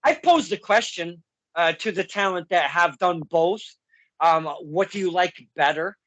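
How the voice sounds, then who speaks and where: A man's voice: high voice, a little slow, a bit bright, dry recording. A man hosting a podcast, asking questions in a casual, chatty way with frequent laughs and asides to listeners. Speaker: podcast host. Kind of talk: casual interview.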